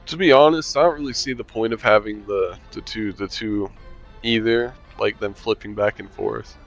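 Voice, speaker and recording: A man's voice: on the low side, moderate pace, creaky, muffled recording, soft background music. A man reading a visual novel aloud with commentary, making dry, sarcastic asides and swearing casually. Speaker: game streamer.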